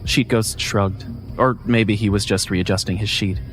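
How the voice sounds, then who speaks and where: A man narrating a first-person scripted story in a measured, wry way. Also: on the low side, steady tone, faint music behind.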